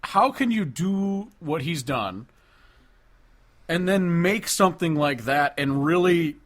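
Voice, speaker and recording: A man's voice: fairly high pitch, animated, slow pace. Incredulous, foul-mouthed man chatting casually. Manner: blunt, profane, incredulous. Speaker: podcast co-host. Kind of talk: casual music discussion.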